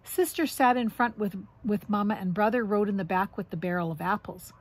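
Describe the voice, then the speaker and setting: A middle-aged woman reading a children's storybook aloud in an expressive, instructive way, as if to young grandchildren. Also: mid-range voice, brisk. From Canada. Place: outdoors on a wooden deck among trees.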